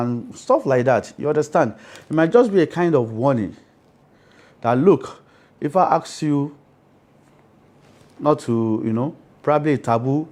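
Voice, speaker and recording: A man with a Nigerian Yoruba accent explains things in a dark, mellow voice. He speaks emphatically, like a priest being interviewed, and keeps checking that his listener follows. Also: medium pitch. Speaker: Ifa priest, interview guest.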